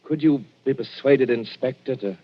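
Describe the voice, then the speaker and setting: A husky-voiced gentleman doctor, performed by a male actor in an old radio play. He is dryly formal and courteous, picking his words with some hesitation, and the recording is dull and vintage. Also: mid-range voice, normal speed, natural voice. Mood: puzzled, hesitant. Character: genteel, respectable male doctor, coolly polite under suspicion. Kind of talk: 1940s radio acting.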